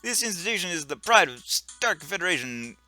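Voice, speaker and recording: A man voicing a pompous middle-aged starship captain with stiff, showy flattery. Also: sits high, fairly quick, faint music behind, heavily acted. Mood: flattering.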